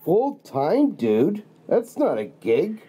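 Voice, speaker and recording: A man's voice: high voice, wildly swooping pitch, slow pace, dark tone, slight background noise, exaggerated voice. A man playing a laid-back, out-of-work stage performer who now staffs a help line.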